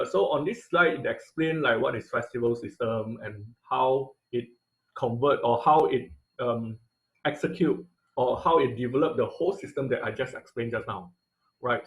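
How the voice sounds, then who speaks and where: A man's voice: mid-range voice, low-quality recording. Male instructor, patient explainer, filler-heavy, computer mic. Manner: explanatory, frequent "uh" and "right?" tag questions. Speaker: robotics instructor. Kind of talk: online class lecture over slides.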